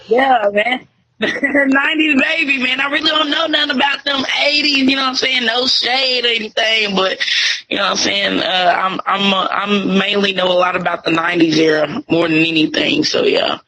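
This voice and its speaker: A woman's voice: fairly high pitch, fairly even intonation, normal speed, pushed and bright, low-quality recording. A young woman, talking in a laid-back, slangy way as a radio host being interviewed. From Tyler, Texas. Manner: laid-back slangy talk, many fillers. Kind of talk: casual interview chat.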